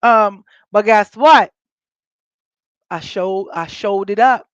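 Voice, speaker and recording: An American woman with a raspy, scratchy voice from a coming cold, hosting a live stream in casual, rambling, unfiltered chat with her viewers. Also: medium pitch, takes it slowly, no echo, muffled recording. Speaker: livestream host. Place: indoors at home, in an office.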